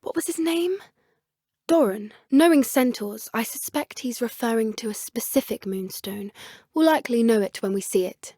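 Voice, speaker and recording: A woman's voice: fairly high pitch, normal speed, mellow, dry recording, clean studio sound. A woman voicing an earnest, plucky teenage witch in a video game, with a British accent and a polite, slightly old-fashioned way of talking. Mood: earnest, thoughtful. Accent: British English. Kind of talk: scripted game character dialogue.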